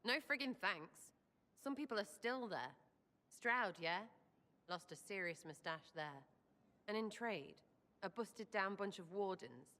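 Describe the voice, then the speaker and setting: A woman's voice: mid-range voice, animated, a little slow, pushed and bright. A woman playing a mischievous, irreverent young female rogue in a video game, with cheeky, slangy, informal delivery. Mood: flippant, skeptical. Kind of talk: video game character dialogue. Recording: long reverb, faint background noise.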